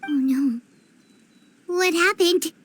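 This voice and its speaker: An actress voicing a small, childlike, curious companion creature in a video game, squeaky and earnest with a wide-eyed delivery. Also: female voice, slow pace, slight background noise. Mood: alarmed, concerned. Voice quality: squeaky, very high voice. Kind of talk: cute video game character acting.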